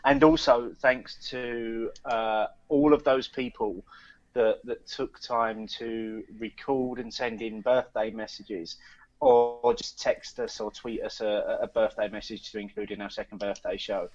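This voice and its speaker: A man co-hosting a podcast, talking casually and haltingly with many fillers and repeated words. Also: on the low side, animated, dry recording. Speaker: podcast co-host. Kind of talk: casual chat. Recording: dull, lo-fi sound.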